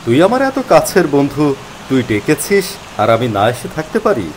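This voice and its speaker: A man's voice: on the high side, very animated, moderate pace, a little hiss or hum, rough recording. A man narrating a scripted mystery story in the first person.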